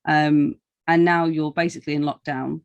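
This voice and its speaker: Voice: medium pitch, flat delivery, normal speed, muffled recording. A British interviewer from England, talking casually as a podcast host with wry, dry humour. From North East Lincolnshire, England.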